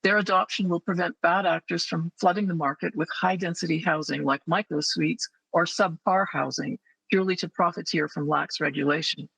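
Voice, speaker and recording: A man's voice: fairly high pitch, steady tone, fairly quick, vocal fry, sharp tone, breathy edge, low-quality recording. A man from Canada giving a prepared, firm public statement at a council hearing, asking pointed questions. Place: council chamber.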